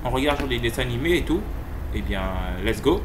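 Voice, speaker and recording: A man's voice: mid-range voice, expressive, fairly quick, noisy background. A man hosting a gaming video, giving casual let's-play commentary and translating for viewers, chatty and rambling, often repeating himself.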